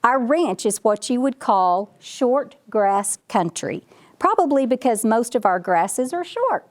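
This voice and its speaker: A self-important ranch dog from a children's storybook, read by a woman. The delivery is unhurried, with pitch that moves up and down a lot as the dog brags and explains things to the listener in a folksy, chatty way. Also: big pitch swings. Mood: folksy explaining. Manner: bragging, chatty, addressing the listener directly.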